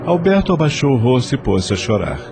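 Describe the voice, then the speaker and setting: Um homem com sotaque brasileiro narrando uma novela de áudio a partir de um texto. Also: male voice, medium pitch, big pitch swings, fairly quick, noisy background. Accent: Brazilian. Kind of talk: scripted audio drama narration.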